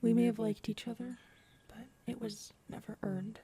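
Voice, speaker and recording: A woman playing a big, earnest predatory alien woman in an intimate audio roleplay, soft and hesitant, often murmuring. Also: on the high side, steady tone, moderate pace, creaky, slightly rough, exaggerated voice. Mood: bashful, hopeful. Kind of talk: audio roleplay acting, addressed to listener.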